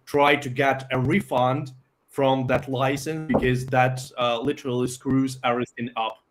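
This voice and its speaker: A man's voice: mid-range voice, level tone, noisy background. Hesitant man with a Ukrainian accent. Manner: halting, many fillers and pauses. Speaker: software company founder. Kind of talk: live interview answers.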